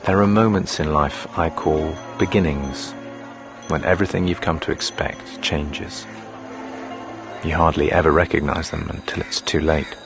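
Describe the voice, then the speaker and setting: A grave male storyteller narrates a radio drama with measured foreboding. His voice is extremely low, and music plays clearly beneath it. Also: steady tone. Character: grave, fatalistic male storyteller looking back.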